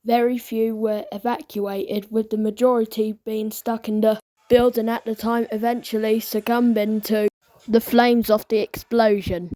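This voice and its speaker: A woman's voice: fairly high pitch, a little mellow, low-quality recording. A woman continuity announcer reading a scripted emergency announcement in a formal manner.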